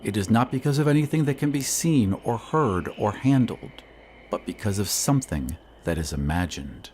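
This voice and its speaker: A man playing a somber surveyor who recounts an eerie old tale in measured, ominous audio drama narration with hushed phrase endings. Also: on the low side, expressive, slight background noise, lightly acted. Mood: ominous, brooding.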